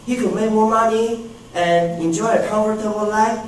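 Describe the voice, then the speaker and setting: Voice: mid-range voice, moderate pace, a little hiss or hum, lightly acted. An amateur radio drama performer playing a kind, earnest male alien who runs a small cafe. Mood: earnest pleading. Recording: echoey hall from far off, music behind.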